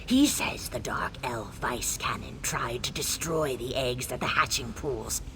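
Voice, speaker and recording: A woman voicing a brooding, vengeful tree spirit in a video game, speaking solemnly and ominously in a gravelly, breathy whisper. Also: extremely low pitch, background noise. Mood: brooding, menacing. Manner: slow, solemn, ominous phrasing. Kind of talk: video game character acting.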